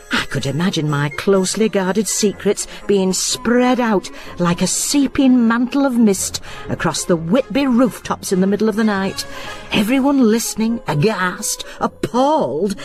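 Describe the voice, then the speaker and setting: A woman acting a nosy, gossipy guesthouse landlady who narrates her own story in a radio drama. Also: mid-range voice. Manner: lively, gossipy, laughs easily. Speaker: narrator and lead character.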